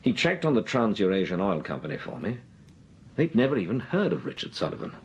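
A suave upper-class detective. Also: male voice, on the low side, big pitch swings, fairly quick, a little mellow, lo-fi sound. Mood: composed. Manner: clipped, crisp upper-class delivery. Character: suave male detective, calm and shrewd. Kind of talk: 1940s radio drama acting.

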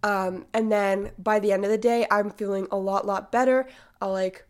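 A woman's voice: medium pitch, fairly even intonation, moderate pace. A woman aged eighteen hosting a podcast, congested from a cold, talking chattily with lots of fillers. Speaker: podcast host. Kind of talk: casual podcast monologue.

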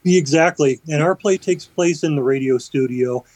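A man's voice: fairly high pitch, normal speed, darkish tone, no echo. A man, vice president of a community theater group, chatting as a guest on a radio arts show and explaining with fillers and restarts. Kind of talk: radio interview.

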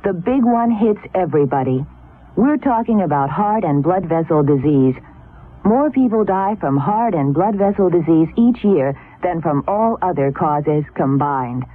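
Earnest, higher-pitched man on old radio. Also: narrow, tinny audio. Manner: serious, earnest. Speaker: public service announcer. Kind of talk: scripted health appeal. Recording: band-limited old radio broadcast.

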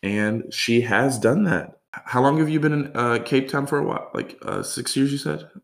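A man's voice: medium pitch, moderate pace, vocal fry. A man hosting a podcast, talking casually and filling his speech with likes. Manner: friendly, filler-heavy, says like a lot. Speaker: podcast host.

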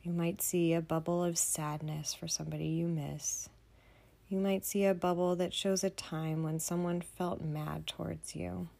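Soft mellow woman doing a kids sleep meditation, really slow, gentle and half whispering. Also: fairly low pitch, fairly even intonation. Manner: soothing, gentle, often breathy near-whisper. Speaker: children's meditation guide. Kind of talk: guided bedtime meditation for kids.

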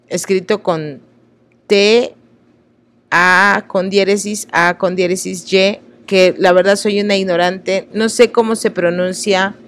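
A woman reads written passages aloud at a middling pitch for her sex. She is earnest and a little halting, slowing down over unfamiliar words and breaking off for apologetic remarks before she carries on. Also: fairly bright tone, a little hiss or hum. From Oaxaca, Mexico. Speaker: host reading texts aloud. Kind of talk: reading aloud with asides.

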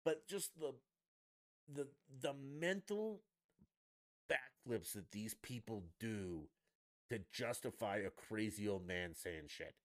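A man's voice: expressive, slow. A man hosting a solo podcast, ranting sarcastically with lots of swearing and mocking asides. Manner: mimics others in high mocking voices. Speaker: podcast host. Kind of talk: solo opinion rant.

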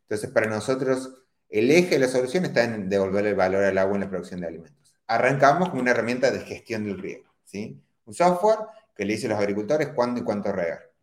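Podcast guest: Córdoba-accented Argentine man, lowish voice, explanatory, echoey room. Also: lively intonation, fast. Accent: Córdoba Argentine Spanish. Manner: explanatory, checks in with frequent tag questions. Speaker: startup founder guest. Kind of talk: podcast interview.

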